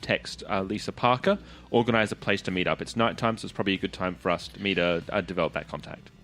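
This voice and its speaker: A man's voice: sits low, quick pace, fairly bright tone. A man playing a tabletop game, casually stating what his character wants to do.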